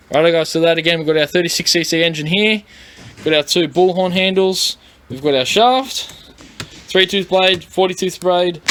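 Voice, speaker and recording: A man with an Australian accent and a fairly high voice walks through a product setup step by step. His delivery is informal and plain-spoken. The recording is clearly noisy, made at a workshop bench. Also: a bit bright. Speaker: product demonstrator. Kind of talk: casual instructional workshop demonstration. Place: indoor workshop at a tool bench.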